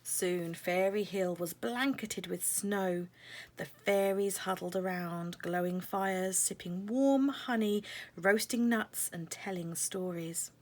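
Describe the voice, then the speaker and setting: A woman's voice: mid-range voice, slow pace, darkish tone. A woman narrating a children's picture book aloud as a scripted story.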